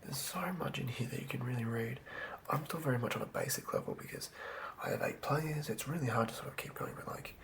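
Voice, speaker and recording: ASMR game-master host: breathy whisper, very gravelly fry, close-miked, loose off-the-cuff rambling. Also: mid-range voice, expressive, fast. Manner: hushed, rambling, thinking aloud.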